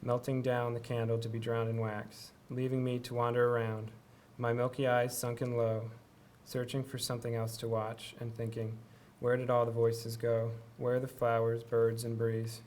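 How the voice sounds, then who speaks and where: A young man reading a poem at a podium in a steady, even manner. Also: mid-range voice, normal speed, hardly any pauses. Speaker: student reading his work. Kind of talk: poetry reading. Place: small lecture room. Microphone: podium gooseneck mic.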